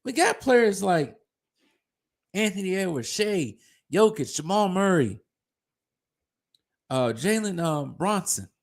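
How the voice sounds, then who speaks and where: Male sports ranter, gravelly and going hoarse, mocking tone, pitch leaping high and low. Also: very animated, slow, long pauses. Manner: mocking, scornful, pleading in jest. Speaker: sports commentator. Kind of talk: ranting basketball commentary.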